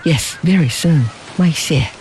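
Storybook young lover, glad and sad at once, murmuring low and slow, with faint hiss behind, played by the reader. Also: darkish tone, close to an everyday voice, low-quality recording. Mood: tender, sad. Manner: murmured, half-whispered delivery. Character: young man, loving yet sorrowful.

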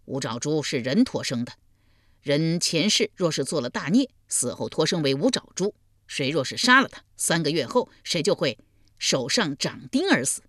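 Brief: woman reading a helpful storybook villager, patient and explanatory, lively tone. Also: low-pitched, moderate pace, no echo, clean studio sound, exaggerated voice. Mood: explaining.

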